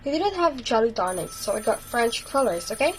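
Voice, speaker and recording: A woman's voice: a little high, sing-song, brisk, slight background noise. A woman playing a casual junior police station worker in an old-fashioned radio drama. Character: casual police station underling bringing lunch.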